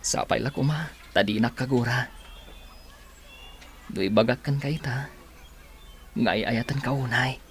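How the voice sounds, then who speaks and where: A man's voice: medium pitch, unhurried, pauses often, faint music behind, natural voice. Shy young male suitor, played by an actor. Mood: shy confession. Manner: hesitant, tender, sincere. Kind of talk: Philippine radio drama acting.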